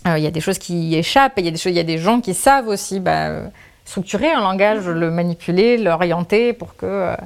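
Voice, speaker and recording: Lady author, kinda low voice, lots of euh and restarts as she thinks. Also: fairly quick, gravelly. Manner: thinks aloud with many restarts, fillers and trailing phrases. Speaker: author, guest. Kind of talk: casual spoken interview answers.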